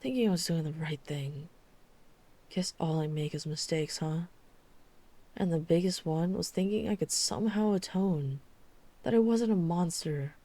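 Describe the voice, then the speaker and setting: A woman playing a tormented, guilt-ridden vampire hunter in an intimate ASMR audio drama, halting with dramatic pauses. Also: low voice, warm tone. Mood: guilty, despairing. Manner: slow, halting, dramatic pauses, breathy sighs.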